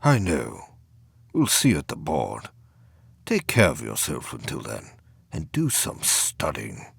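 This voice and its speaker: A man voicing a measured, encouraging senior engineering officer in a science fiction audio story, with a gravelly, husky voice. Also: extremely low pitch, wildly swooping pitch, no room sound, clean studio sound, voice pushed down, full character voice. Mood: measured encouragement. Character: stern older male chief engineering officer, measured, encouraging.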